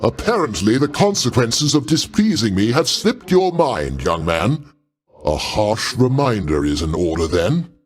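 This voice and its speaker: An actor playing a proud, paternal older god in a video game, speaking in a pompous, avuncular way with formal, theatrical diction. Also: male voice, medium pitch, sing-song, measured pace. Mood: stern, offended. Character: grandiose, paternal older male Greek god, proud, easily offended.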